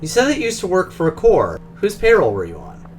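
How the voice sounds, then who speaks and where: A man's voice: high-pitched, wildly swooping pitch, brisk, faint music behind. A man reading a game's dialogue aloud as the player character, in a conversational, questioning way. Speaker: game streamer.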